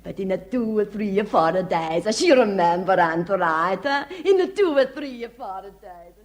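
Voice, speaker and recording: A woman's voice: medium pitch, lively intonation, measured pace, lightly acted. An actress playing a chatty, inquisitive Italian woman in a radio drama, speaking effusive broken English with an Italian accent. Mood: curious, excited.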